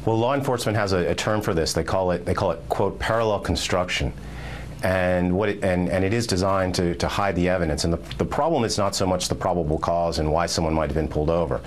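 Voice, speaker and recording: Very deep-voiced American male correspondent. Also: quick pace, slight background noise. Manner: methodical, step-by-step explaining with repeated phrases. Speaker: news correspondent, interview guest. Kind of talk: explanatory news interview.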